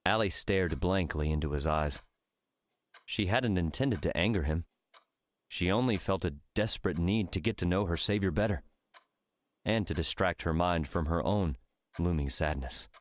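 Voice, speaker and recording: Deep-voiced male audiobook narrator. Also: moderate pace, dry recording. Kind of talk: scripted fiction narration.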